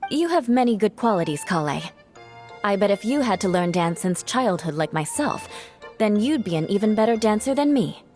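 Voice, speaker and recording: Actress as self-assured young noblewoman, mid-pitched, measured, composed, proud, video game cutscene, faint music. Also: animated, a little mellow, natural voice. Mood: reassuring. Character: confident young noblewoman dancer, proud, sharp-tongued yet kind.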